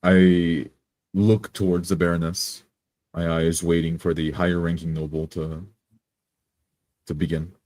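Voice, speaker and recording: A man's voice: low voice, little pitch movement, measured pace, long pauses, a little mellow, no room sound. A man narrating his own character's actions as a player in a tabletop roleplay.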